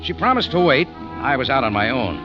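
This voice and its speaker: An actor playing a wry, hard-boiled narrator. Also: male voice, medium pitch, big pitch swings, a little fast, over music, close to an everyday voice. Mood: laconic. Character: tough, wry man running a cafe, first-person narrator. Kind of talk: 1940s radio acting. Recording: dull old radio recording.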